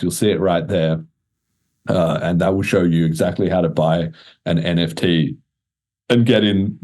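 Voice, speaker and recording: A man's voice: sits low, darkish tone. A man hosting a podcast in a casual, chatty style with informal, slangy talk. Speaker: podcast host.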